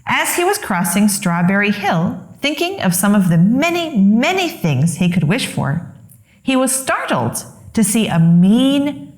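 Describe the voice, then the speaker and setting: A woman reading a children's story aloud as expressive narration, with deliberate, dramatic phrasing. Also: mid-range voice, reverberant. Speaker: children's story reader, host.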